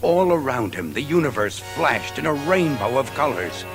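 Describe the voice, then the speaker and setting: Theatrical male storyteller over loud music. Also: mid-range voice, sing-song. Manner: theatrical, suspenseful, deliberate phrasing. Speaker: narrator. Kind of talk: dramatic scripted storytelling for a sci-fi audio drama record. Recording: loud music under a 1980s record. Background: loud dramatic music.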